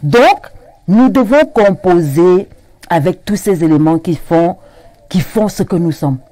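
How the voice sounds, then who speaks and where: A woman with a Cameroonian French accent, a regional councillor interviewed as a guest on a TV studio set, speaking in halting phrases full of repetitions. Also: lowish voice, lively intonation, warm tone, no room sound. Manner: halting, many repetitions and restarts.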